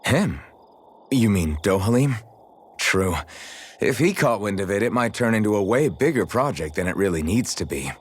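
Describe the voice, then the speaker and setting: A man's voice: on the low side, expressive, moderate pace, slightly rough. A man playing a modest, good-natured young swordsman with a casual, unpretentious way of talking, in video game dialogue. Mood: supportive, friendly. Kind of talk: English-language video game character dialogue.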